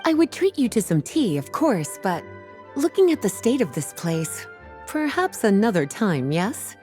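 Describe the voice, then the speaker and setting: Polite composed game lady, kindly and genteel, mid-pitched, lilting. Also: very animated, measured pace, darkish tone, faint music behind. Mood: gracious. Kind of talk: video game voice acting.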